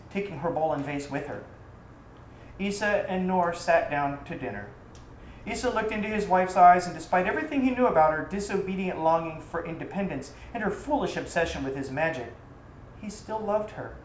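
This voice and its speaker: A woman reading a scripted tale aloud in an expressive, theatrical storybook style. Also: fairly low pitch. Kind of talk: scripted narration of an exotic tale. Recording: roomy echo, faint background noise.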